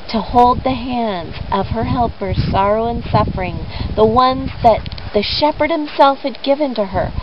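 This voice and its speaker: A woman storyteller speaks in a fairly high voice that rises and falls freely. She takes her time, stressing important words for drama as if telling a tale to children. The recording is dull and lo-fi, with clear noise behind her. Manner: deliberate, dramatic emphasis on key words.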